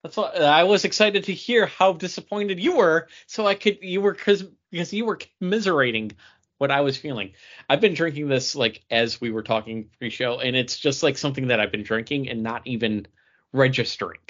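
A man's voice: fairly high pitch, a little fast, a bit bright. A man co-hosting a podcast, talking in a casual, conversational style. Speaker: podcast co-host. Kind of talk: casual chat. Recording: dull lo-fi audio.

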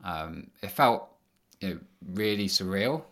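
A man's voice: low-pitched, unhurried. A man, a podcast guest, answering casually and loosely with many fillers. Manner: relaxed, rambling with many fillers. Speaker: podcast guest, engineering manager.

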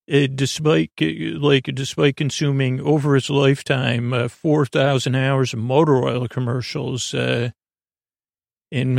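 A man hosting a bedtime podcast in a meandering, halting monologue, with a gravelly voice and a lulling, soothing manner. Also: medium pitch, dry recording, studio quality. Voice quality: gravelly, creaky. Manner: rambling, halting, self-interrupting. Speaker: podcast host.

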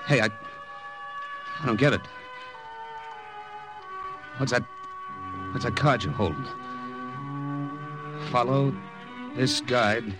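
An actor playing a tense, weary American military captain who narrates haltingly and dramatically in an old radio drama. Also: male voice, mid-range voice, lightly acted, rough recording. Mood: exasperated, baffled. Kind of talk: 1950s radio drama acting, first-person narration. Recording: old radio recording with music behind.

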